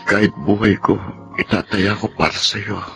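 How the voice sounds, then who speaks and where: Low-voiced devoted admirer. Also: male voice, fairly even intonation, moderate pace, vocal fry, music in the background. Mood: tender. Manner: earnest, melodramatic. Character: devoted, self-sacrificing lovelorn young man. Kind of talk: Tagalog radio drama acting.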